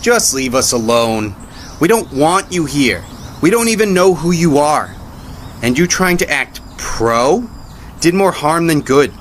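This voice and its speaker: A man's voice: on the high side, animated, measured pace, a little hiss or hum. A man playing an angry bystander in an audio drama, accusing and bitterly sarcastic.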